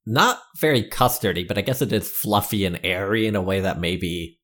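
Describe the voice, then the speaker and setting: A man co-hosting a podcast, chatting casually and thinking out loud as he goes. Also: on the low side, normal speed. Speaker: podcast co-host. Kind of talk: casual chat.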